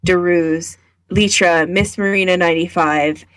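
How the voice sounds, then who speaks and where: A woman's voice: fairly low pitch, steady tone, unhurried, fairly bright tone, no room sound. Chatty woman podcast co-host, dry sound. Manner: informal, giggly, chatty. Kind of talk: casual chat recommending picks.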